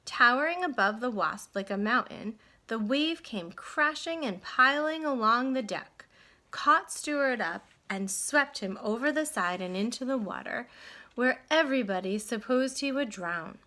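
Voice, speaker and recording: A woman teacher reading a storybook aloud to children in an expressive, guiding way. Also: on the high side, unhurried. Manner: addresses children directly, guiding them with questions. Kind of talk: read-aloud lesson, expressive narration.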